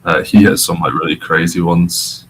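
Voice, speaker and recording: A man's voice: low voice, fairly even intonation, moderate pace, a bit bright, slight background noise. A young man from Scotland, a podcast guest, chatting casually and rambling with fillers. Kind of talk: casual chat.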